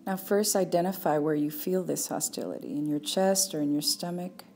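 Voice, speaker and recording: Low, mellow woman guiding a meditation. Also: slight background noise. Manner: calm, unhurried, with long pauses. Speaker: meditation teacher. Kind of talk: guided meditation.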